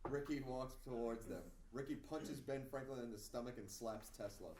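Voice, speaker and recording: A man with a mid-pitched voice reads stage directions at a table read. He is a little far from the mic in an echoey room, with faint noise. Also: normal speed. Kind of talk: scripted narration at a table read.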